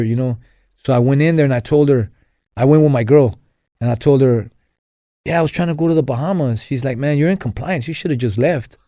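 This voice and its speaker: A man's voice: medium pitch, warm tone, no echo, clean studio sound. A young man from Los Angeles, a podcast guest telling his story in a rambling way, full of fillers and restarts. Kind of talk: casual storytelling interview.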